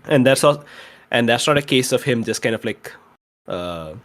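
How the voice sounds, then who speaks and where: A man chatting casually as a game player, deliberate and dryly humorous. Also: mid-range voice, lively intonation, fairly bright tone. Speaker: podcast player. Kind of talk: casual chat.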